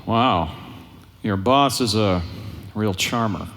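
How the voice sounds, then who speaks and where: An actor playing a cocky, wisecracking smuggler captain in a live audio drama. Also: male voice, lowish voice, slow. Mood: wry. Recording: noisy live stage with effects behind.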